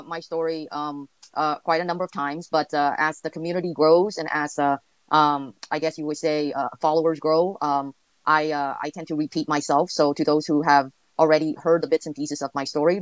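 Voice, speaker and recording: Woman guest from vietnam, quiet and hesitant, lots of uhs, dry close sound. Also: sits low, no echo, poor audio. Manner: soft-spoken, hesitant, many fillers. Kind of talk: casual interview chat.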